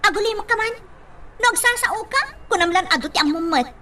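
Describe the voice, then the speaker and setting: A woman's voice: very high voice, animated, a little fast, bright, forceful tone, exaggerated voice. A woman acting a bossy, impatient elder in an Ilocano radio drama, insistent and exasperated. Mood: scolding, pushy. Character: bossy, impatient older sister figure, moody and pushy.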